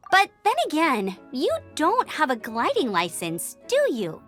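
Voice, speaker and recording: A perky young lady knight with a very high, bright, bouncy voice. She is earnest, emphatic and playfully official, in video game style over light music. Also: huge pitch swings, measured pace, full character voice. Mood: cheerful, teasing. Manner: emphatic, peppy, mock-official. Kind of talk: video game character acting.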